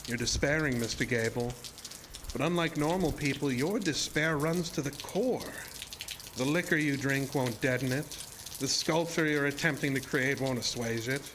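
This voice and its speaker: A man's voice: medium pitch, normal speed, noisy background, close to an everyday voice. A man playing a sinister, seductive illusionist showman in a horror audio drama, speaking in a theatrical, formal and insinuating way. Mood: brooding, seductive. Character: sinister, seductive showman-illusionist, deceptively ageless male villain.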